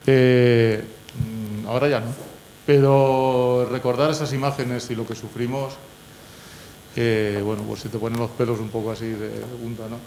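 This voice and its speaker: A man's voice: mid-range voice, little pitch movement, normal speed, darkish tone, slight background noise, low-quality recording. A man in his sixties, a former handball player, speaking reflectively and hesitantly.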